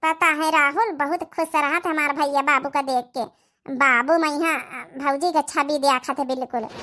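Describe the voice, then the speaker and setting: Cartoon village sister, young, dutiful and fretful: piercingly high, bright, Awadhi-accented female performer. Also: steady tone, measured pace. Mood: busy, chatty. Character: young village sister, dutiful, caring, worried for baby nephew. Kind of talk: family drama cartoon dialogue.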